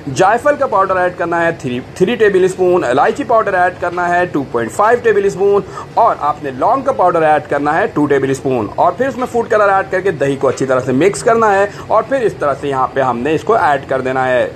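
A male cooking host with a somewhat high voice walks through a recipe in instructional steps. He drops English kitchen terms into his sentences. There is distinct ambient noise behind his speech. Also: expressive, fairly quick. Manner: mixes English kitchen words into Hindi.

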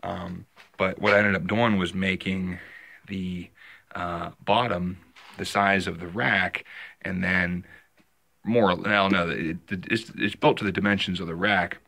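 Deep-voiced man rambling in his basement. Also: steady tone, a little slow, creaky, bright, forceful tone. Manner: rambling, with many fillers and restarts. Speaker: vlogger. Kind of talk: casual show-and-tell vlog. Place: home basement workshop. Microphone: handheld phone camera.

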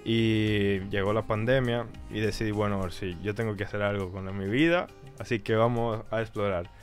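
Guest, Dominican man, twenties, lower voice, calm explainer, studio mic. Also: normal speed, soft background music. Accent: Dominican Spanish. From Dominican Republic. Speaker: guest, marketing entrepreneur. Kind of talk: casual interview chat. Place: small studio with a patterned wall. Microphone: studio mic on a stand, headphones.

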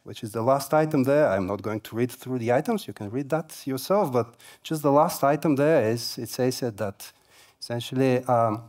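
A man's voice: mid-range voice, normal speed, a little mellow. A man in his forties giving a tech conference keynote on a large stage, speaking casually with many fillers and light humor through a headset mic.

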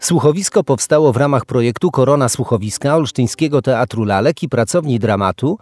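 A man's voice: mid-range voice, expressive, brisk, a little mellow, dry recording, soft background music. A man working as an announcer, reading scripted credits.

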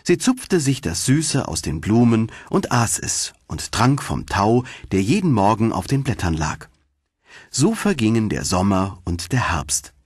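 Expressive deep-voiced male fairy-tale narrator. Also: a little slow, slightly rough, no room sound, studio quality. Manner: expressive storytelling. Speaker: audiobook narrator.

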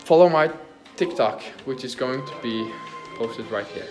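A man's voice: mid-range voice, a little slow, darkish tone, faint music behind. A young man guest-hosting a vlog, talking playfully into a phone held at arm's length in a student dorm, rambling with lots of filler words. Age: young adult. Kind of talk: casual joking vlog chat. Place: student dorm kitchen and rooms, indoors. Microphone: handheld phone at arm's length.

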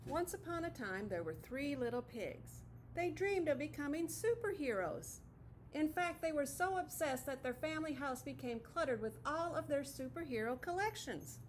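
A woman's voice: sits high, expressive, moderate pace, a little hiss or hum, low-quality recording. A middle-aged woman teacher reading a picture book aloud expressively to children, recorded in a classroom. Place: classroom, indoors.

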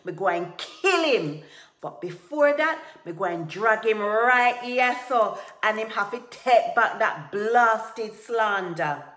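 An enraged tiger from a storybook folktale as a woman storyteller acts him out, bellowing loudly in Caribbean Creole, pitched high with fury. He blusters and roars with indignation, and the recording is roomy. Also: animated, takes it slowly. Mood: furious. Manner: roaring, shouting, indignant.

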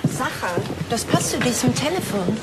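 An earnest, higher-voiced female detective. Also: very animated, moderate pace, over loud music. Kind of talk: German crime radio drama acting.

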